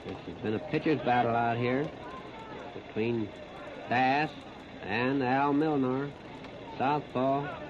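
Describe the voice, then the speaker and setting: A man's voice: medium pitch, takes it slowly, long pauses. An American man calls live baseball play-by-play on the radio in short, plain-spoken, folksy phrases. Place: outdoor ballpark. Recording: noisy, muffled 1930s radio broadcast recording.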